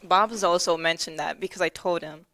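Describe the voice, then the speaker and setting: A young woman co-hosting a radio show, speaking in a monotone, deadpan way. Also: on the low side, a little fast, no echo. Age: young adult. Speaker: radio co-host. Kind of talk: casual studio banter.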